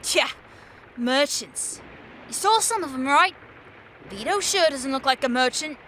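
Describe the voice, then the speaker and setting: A high, bright-voiced young boy, played by a woman, sensible and argumentative, bickering as an exasperated big brother in an audio drama. Faint ambient noise sits behind the lively delivery. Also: a little slow, poor audio. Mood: earnest, warning. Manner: sighing, argumentative. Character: nine-year-old boy, sensible, exasperated older brother.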